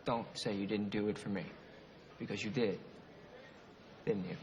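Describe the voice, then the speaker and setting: A man's voice: lowish voice, fairly even intonation, normal speed, darkish tone, a little hiss or hum, natural voice. A man acting in film comedy dialogue with an everyday voice.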